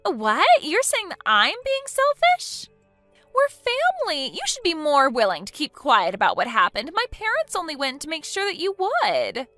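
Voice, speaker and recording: A woman's voice: high voice, wildly swooping pitch, a little fast, few pauses, sharp tone, no echo, soft background music, voice pushed up, full character voice. A woman voicing a selfish, entitled young woman who reacts with whiny, indignant outbursts.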